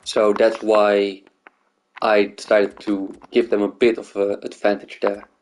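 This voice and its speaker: A man's voice: lowish voice, monotone, unhurried, a little mellow, a little hiss or hum, poor audio. A man from the Netherlands and Greece, hosting a gaming video and thinking aloud in loose, rambling casual commentary.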